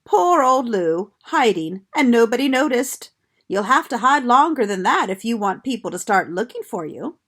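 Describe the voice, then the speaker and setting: A teasing older schoolboy from a storybook, as a woman reading aloud puts him on. He speaks louder and a touch brisk, ribbing his little sister. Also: on the high side, sing-song. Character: bossy boy, older brother, teasing.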